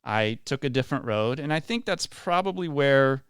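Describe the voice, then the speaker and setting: A man's voice: medium pitch, lively intonation, moderate pace, clear, resonant tone, no echo, clean studio sound. A man around forty from West Virginia, a community leader speaking earnestly and effusively as a guest in a radio interview. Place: radio studio.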